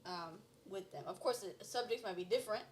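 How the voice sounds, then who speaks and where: A woman guest in a casual conversation who talks in an animated way, laughs easily and fills her speech with "like" and "you know.". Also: medium pitch, fairly quick, slight background noise. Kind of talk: casual podcast chat.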